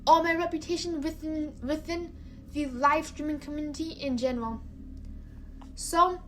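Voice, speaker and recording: A young woman from China narrating a personal story, careful and halting, often repeating words. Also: sits high, a bit bright, slight background noise, rough recording.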